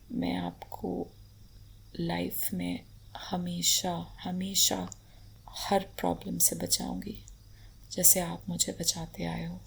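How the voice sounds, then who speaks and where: A woman playing an affectionate, playful, devoted wife in an intimate romantic ASMR roleplay, speaking tenderly in a breathy half-whisper with light teasing. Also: fairly low pitch, full character voice. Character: affectionate, playful, devoted Indian wife adoring her husband. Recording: faint background noise.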